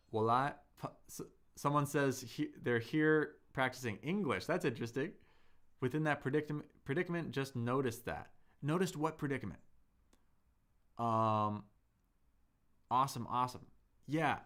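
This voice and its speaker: A man hosts a live Chinese lesson at an unhurried pace, speaking in a mid-range voice. He explains each tone patiently and says the words aloud as examples. He also reads viewers' chat and replies to it. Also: medium pitch, fairly bright tone. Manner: patient, explains slowly, models tones. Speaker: Chinese teacher. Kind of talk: live-streamed language lesson.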